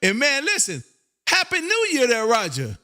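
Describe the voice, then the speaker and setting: A man from Texas hosting a ham radio live stream with a loud, showy, repetitive on-air patter. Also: very high voice, huge pitch swings, normal speed, a little hiss or hum. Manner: loud, showy, repetitive, shouts and half-sings call signs. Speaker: amateur radio operator and live stream host. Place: radio room.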